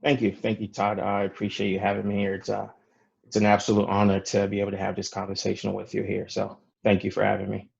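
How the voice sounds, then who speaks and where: A young man from Ghana, telling his story conversationally as an interview guest, in a halting way with many fillers. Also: on the low side, monotone, vocal fry, slightly rough, muffled recording. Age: young adult. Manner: halting, frequent "you know" fillers. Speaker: podcast guest, real estate investor.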